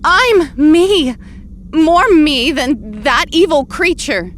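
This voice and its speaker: An actress playing an anguished but determined heroine in an audio drama, with an emotional, pleading delivery. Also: female voice, sits high, lively intonation, slow pace, a little hiss or hum. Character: anguished, determined heroine back from presumed death.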